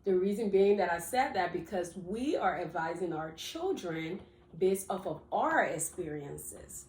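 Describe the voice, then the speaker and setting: A woman from Liberia co-hosting a casual chat. She is animated, laughs often and repeats words as she starts her sentences. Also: fairly low pitch, measured pace, reverberant, a little hiss or hum. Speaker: co-host.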